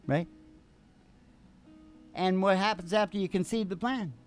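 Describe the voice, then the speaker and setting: A man's voice: high voice, unhurried, soft background music. A middle-aged man hosting a casual motivational chat into a stand microphone, rambling in a folksy way and asking leading questions. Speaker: host and country musician. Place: small curtained stage.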